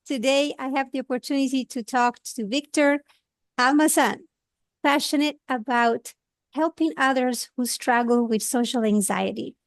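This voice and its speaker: Italian-accented woman hosting a podcast, earnest and gentle, higher voice, dry booth sound. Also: normal speed, no room sound. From Italy. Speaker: podcast host. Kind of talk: podcast interview.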